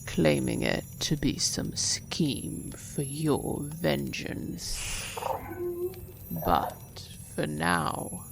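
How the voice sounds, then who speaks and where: An actress voicing Abathine, a fierce woman pleading for a soul in a slow, whispery, earnest way. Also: sits low, creaky, background noise, heavily acted. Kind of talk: audio drama acting.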